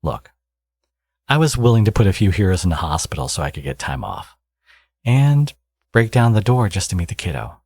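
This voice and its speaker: A man acts out a smug supervillain who dotes on his baby, in an intimate ASMR roleplay. His voice is very low and gravelly, murmured slowly and close in a dry space. He is cocky and playfully teasing with his lover. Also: very animated, a little mellow, no echo, heavily acted. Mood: teasing, reassuring. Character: smug, teasing male supervillain, doting new father.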